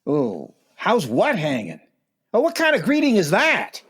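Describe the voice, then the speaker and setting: A man's voice: high voice, wildly swooping pitch, unhurried, a bit bright, breathy edge, exaggerated voice. A man playing a self-absorbed, trend-chasing music agent in a comedic radio play, breezy, smug and dismissive.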